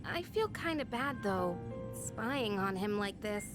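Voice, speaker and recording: A woman's voice: medium pitch, animated, slow. A woman voicing a friendly, kind-hearted teenage schoolgirl in a video game, casual and sympathetic. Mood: uneasy concern. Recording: music behind the voice.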